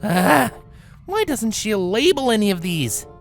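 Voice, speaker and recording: A man's voice: high-pitched, big pitch swings, a little slow, gravelly, faint music behind, voice pushed up, full character voice. A man voicing a vengeful young female cartoon pony in a storybook reading, sarcastic and muttering to herself. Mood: grumbling.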